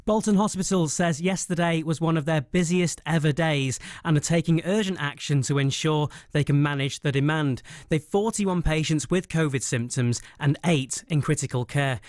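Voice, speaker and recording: British newsreader, dry close studio sound. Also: male voice, on the high side, no room sound. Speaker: news presenter. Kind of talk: scripted radio news reading.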